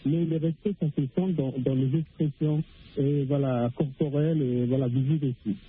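A man from Africa calling in to a radio discussion, speaking haltingly with many restarts and fillers over a phone line. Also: mid-range voice, steady tone, soft background music. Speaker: radio caller. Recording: tinny phone line with faint noise.

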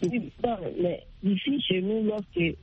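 A man's voice: sits high, slow pace, breathy edge. A man calling in to a radio show, answering hesitantly and repeating words as he searches for them. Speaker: caller. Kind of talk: phone-in call. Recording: tinny phone line.

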